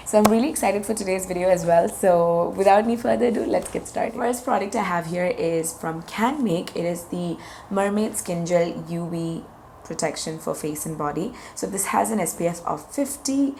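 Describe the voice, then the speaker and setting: Hoarse, gravelly-voiced woman vlogger. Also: lowish voice, normal speed, darkish tone, a little hiss or hum. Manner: chatty, enthusiastic, product-by-product narration. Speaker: beauty vlogger. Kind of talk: casual makeup review.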